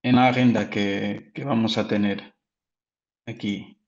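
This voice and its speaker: A man's voice: lowish voice, fairly even intonation, measured pace. A Portuguese man, a native Portuguese speaker with a Portuguese accent, presenting a technical webinar carefully, with frequent restarts. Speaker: product manager presenting. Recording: dull lo-fi audio.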